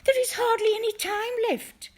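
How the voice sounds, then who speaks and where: Woman voicing a high-pitched, earnest tiny dog. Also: fairly even intonation, moderate pace. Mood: anxious dismay.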